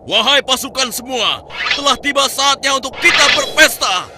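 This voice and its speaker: A man's voice: extremely high pitch, expressive, moderate pace, pushed and bright, a little hiss or hum, heavily acted. A man playing a scornful, bloodthirsty enemy warlord in a religious cartoon, mocking and boastful. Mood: gloating, fierce. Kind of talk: Indonesian religious cartoon dub.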